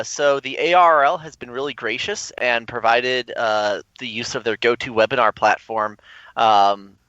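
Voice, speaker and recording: Bright-voiced man on slightly dull call audio. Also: medium pitch, dry recording. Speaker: interview guest. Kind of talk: casual, informative conversation.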